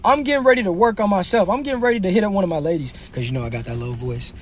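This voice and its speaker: A man's voice: sits high, animated, rapid-fire. A young man hosting a comedic livestream, trash-talking commenters in brash, slangy bursts. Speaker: livestream host.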